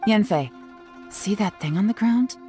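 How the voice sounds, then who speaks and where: A woman playing a cool, self-assured investigator in a video game, composed, measured and knowing. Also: medium pitch, warm tone, soft background music, natural voice. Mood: composed, analytical. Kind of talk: video game character acting.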